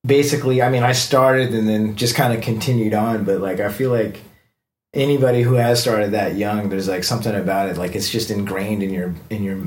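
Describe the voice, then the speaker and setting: A man's voice: lowish voice, steady tone, fairly quick. A man with an American accent hosting a podcast in a casual, conversational interview style. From United States. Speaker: podcast host.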